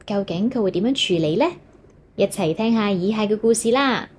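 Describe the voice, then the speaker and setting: A woman's voice: mid-range voice, expressive, a little fast, a little mellow, husky. A woman with a Hong Kong Cantonese accent reading a children's story aloud expressively, as if to young listeners.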